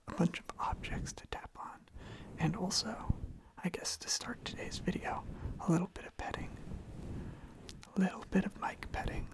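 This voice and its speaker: A person whispering a gentle, soothing ASMR ramble in a breathy voice with some fry, softly repeating phrases close to the microphone. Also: mid-range voice, rough recording. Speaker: ASMR video creator. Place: own room.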